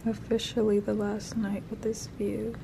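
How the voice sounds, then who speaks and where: A young woman talks straight into her phone camera, her voice raspy and worn hoarse from the night before. She gushes along casually, stringing thoughts together with "like" and "literally." A steady hum of ambient noise sits plainly under the recording. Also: mid-range voice, moderate pace. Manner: gushing, chatty, peppered with "like" and "literally". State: hoarse after a concert. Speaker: vlogger. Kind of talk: casual vlog chat to camera. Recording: clear background ambience noise.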